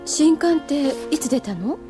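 High, poised, aloof young lady. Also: animated, a little slow, over music. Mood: demanding. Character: poised, aloof, ladylike senior schoolgirl. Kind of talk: Japanese anime audio drama acting.

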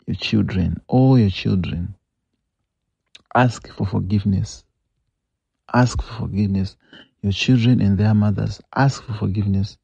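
Gravelly low-voiced Zimbabwean man, earnest. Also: mellow, no echo. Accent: Zimbabwean English. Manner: earnest and scolding, repeats key phrases. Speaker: host. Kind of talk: reading a confession aloud, then advice. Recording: dull lo-fi dry recording.

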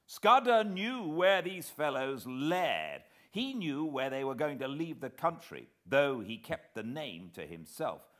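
A British man voicing an excitable, quick-witted spy thriller hero in a storybook reading, emphatic and exclamatory. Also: mid-range voice, pitched above the performer's own voice. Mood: excited.

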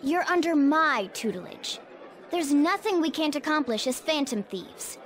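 A woman's voice: high-pitched, lively intonation, measured pace, a faint crowd, a little hiss or hum. A woman voicing a small, self-assured male cat who talks like a bossy, pompous mentor in a video game. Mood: confident, explanatory. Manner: pompous, instructive. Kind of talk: English video game voice acting.

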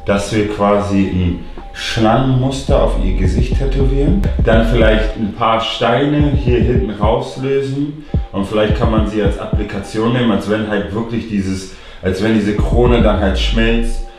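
A man explaining studio recording in a relaxed, casual way. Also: lowish voice, measured pace, far from the mic. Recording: echoey studio, off mic, music behind.

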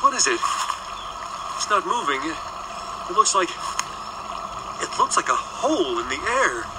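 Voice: mid-range voice, big pitch swings, moderate pace, lightly acted. Someone doing a jumpy unsure sidekick, hiss on the track. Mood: uneasy. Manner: uneasy, hesitant.

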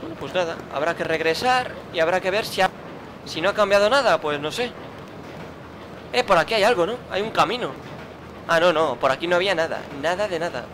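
A man's voice: high voice, expressive, fairly quick, background noise. A man commenting his own gameplay, muttering, grumbling and swearing at the game. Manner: frustrated, swearing, muttering to himself. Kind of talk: let's play commentary.

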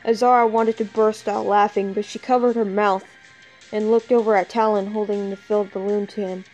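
A woman's voice: medium pitch, little pitch movement, brisk, a little mellow, dull audio, faint music behind. A woman reading scripted fan fiction as storyteller, occasionally stumbling over the written words.

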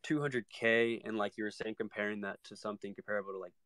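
A man's voice: on the low side, steady tone, quick pace, a bit bright, no room sound. A man co-hosting a live online audio chat, asking questions hesitantly with many fillers. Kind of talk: casual discussion.

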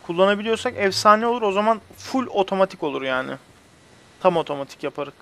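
Tiz sesli genç erkek oyun sunucusu. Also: male voice, sits high, lively intonation, quick pace, gravelly, sharp tone. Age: young adult. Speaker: host of a gaming video channel. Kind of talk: casual let's-play commentary with friends. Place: indoors at a computer, webcam shown.

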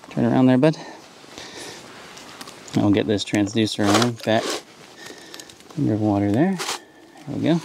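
Gravelly-voiced man demoing ice fishing gear. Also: mid-range voice, background noise. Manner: explanatory, relaxed, upbeat when catching fish. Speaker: fishing channel host. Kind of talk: casual how-to demonstration. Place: outdoors on a frozen lake in snowy woods.

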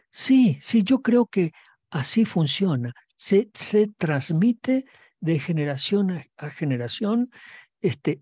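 An older man talks things through in an unhurried, reflective way, pausing on fillers and restarting phrases. His voice is dark and mellow, and the headset mic keeps the sound close and dry. He speaks slowly. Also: on the high side, no room sound. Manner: slow, thoughtful, with many fillers and restarts. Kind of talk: reflective talk on a video call. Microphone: headset mic on a video call.

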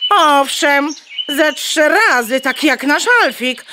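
A woman's voice: high-pitched, slow pace. A woman playing a bossy, indignant mother hen in a children's radio play, lecturing haughtily with clucking tics. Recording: bird chirps and noise behind. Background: birdsong, farmyard ambience.

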